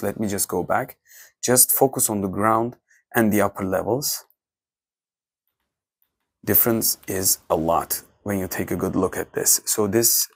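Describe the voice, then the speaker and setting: A tech reviewer talks through settings menus, stopping and restarting often. His voice is low with a gravelly edge. The recording is dry and close. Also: no echo. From Turkey. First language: Turkish. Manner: halting, many restarts and fillers. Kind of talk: off-the-cuff menu walkthrough.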